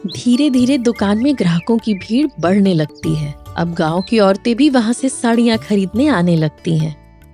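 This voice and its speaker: A female narrator reads a children's moral tale as scripted storytelling. Her voice is mellow and mid-pitched, over light background music. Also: lively intonation, moderate pace.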